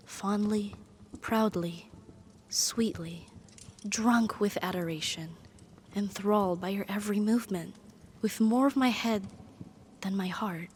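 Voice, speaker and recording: A woman voicing a proud, possessive, lovesick female demon in an ASMR roleplay, speaking in formal, deliberate, dramatic phrases. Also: fairly high pitch, lively intonation, darkish tone, a little hiss or hum, close to an everyday voice. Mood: tender, yearning. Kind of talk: ASMR romantic audio roleplay.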